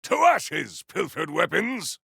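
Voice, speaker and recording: An actor playing a noble, earnest warrior hero in a video game, speaking in a pompous, formal and grandiose way. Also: male voice, high voice, sing-song, slow pace, vocal fry, a bit bright, breathy edge, no room sound, clean studio sound, full character voice. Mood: fierce, battle-ready. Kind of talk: video game character voice acting.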